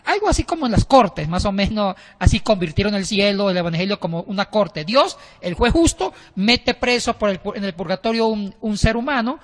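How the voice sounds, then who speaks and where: A man's voice: high voice, animated, fairly quick, hardly any pauses. A man explaining church history in a casual discussion, often restarting his sentences. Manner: explanatory, with frequent restarts and hesitations. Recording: long reverb.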